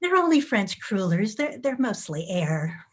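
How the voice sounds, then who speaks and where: Woman doing a chatty suburban hostess type, kinda suspicious, medium pitch, reading a play on a video call. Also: very animated, measured pace. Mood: hospitable, wary. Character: hospitable, suspicious suburban housewife, chatty neighbor.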